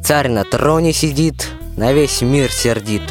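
A man's voice: medium pitch, a little slow, music in the background, lightly acted. A man narrating a fairy tale in folksy rhymed verse for a radio play.

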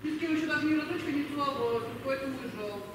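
A woman's voice: high voice, rapid-fire, close to an everyday voice. A woman playing a naive, cheeky young village girl soldier, chatty and plainspoken, in an amateur war radio play. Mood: wistful, artless. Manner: artless, chatty, colloquial. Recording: echoey far-off stage recording, noisy.

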